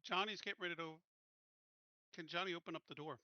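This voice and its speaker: A man's voice: sits high, fast talker, pushed and bright, no echo. Male tabletop player, casual tactical chat. Piercing, clean tone on a dull, dry recording. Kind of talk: casual tactical chat during game play.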